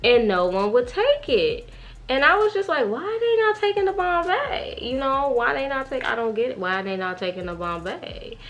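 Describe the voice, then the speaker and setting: A woman's voice: sits high, sing-song, moderate pace, a bit bright. A young woman telling a story in a casual, slangy, gossipy way, acting out bits of dialogue as she goes. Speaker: vlogger. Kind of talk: animated casual storytime chat.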